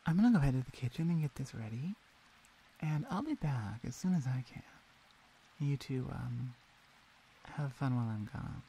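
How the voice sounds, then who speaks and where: A woman voicing a dominant but caring female orc in an improvised ASMR roleplay, speaking softly, intimately and teasingly to the listener. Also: rumbling low, expressive, normal speed, long pauses, slight background noise, voice pushed down, poor audio. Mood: matter-of-fact, caring.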